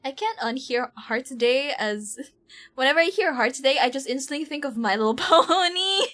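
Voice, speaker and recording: A woman livestreaming a game with casual, expressive, giggly chatter, often repeating herself. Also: sits high, moderate pace. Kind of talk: casual chatty stream commentary. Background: faint game music.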